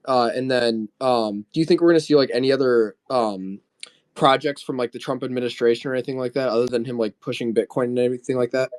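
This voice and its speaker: A man's voice: mid-range voice, quick pace. A man calling in to a live chat who asks hesitant questions full of fillers. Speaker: caller. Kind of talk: casual live audio chat.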